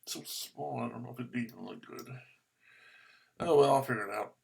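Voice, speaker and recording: A man from the United States vlogging about ham radio, muttering to himself as he searches on his computer, with bursts of excited cheering. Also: medium pitch, a little fast, vocal fry, slightly rough. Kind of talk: casual screen-recorded commentary.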